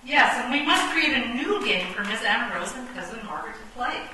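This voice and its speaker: A woman's voice: a little high, expressive, fairly quick, pushed and bright. A woman as a sensible chess piece, very echoey. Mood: explaining. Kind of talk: theatrical radio play acting. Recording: echoey, distant, noisy stage recording.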